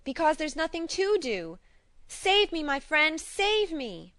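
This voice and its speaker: Bright, high-voiced little girl. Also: big pitch swings, slow pace, no echo, exaggerated voice. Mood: pleading. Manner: earnest, insistent. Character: plucky, plain-spoken little girl. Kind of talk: dramatized storybook acting.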